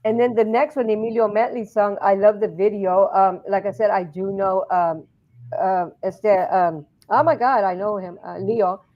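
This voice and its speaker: A woman with a raspy voice hosts a casual interview, talking in a loose, giggly, rambling way with plenty of fillers. Her recording sounds somewhat dull and lo-fi. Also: medium pitch, steady tone, moderate pace. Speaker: talk show host.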